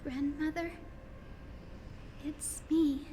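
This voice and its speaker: An actress voicing a gentle, earnest young granddaughter, a kind-hearted heroine in a dramatic fantasy game. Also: female voice, sits high, steady tone, very slow, a little hiss or hum. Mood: tender, reminiscing. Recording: faint music behind the voice.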